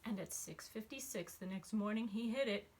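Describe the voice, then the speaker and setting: A woman's voice: medium pitch, normal speed, darkish tone, a little hiss or hum. A woman narrating a rhyming children's storybook expressively. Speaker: storybook reader, school staff member.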